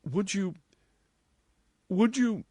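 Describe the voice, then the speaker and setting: A polite young secretary in an audiobook, a woman played by a male reader. She is halting and easily flustered, softly lifting the pitch with lively swings. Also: no room sound. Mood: faltering. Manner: hesitant, halting, stammering politeness.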